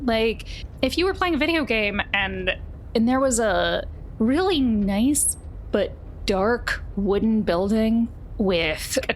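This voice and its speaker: High-voiced woman game master, noisy background. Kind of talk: narrating a tabletop game, casual recap.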